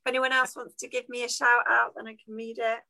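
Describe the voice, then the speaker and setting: A woman's voice: a little high, little pitch movement, fast talker, gravelly, pushed and bright. A woman in her thirties co-hosting a casual live podcast, chatty and given to laughing. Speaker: podcast co-host.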